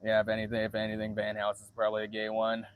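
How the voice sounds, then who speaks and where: A man chatting casually on a livestream in a monotone voice, halting often as he restarts phrases and swearing freely. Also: fairly low pitch, narrow, tinny audio. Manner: frequent swearing. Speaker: livestreamer answering viewer chat.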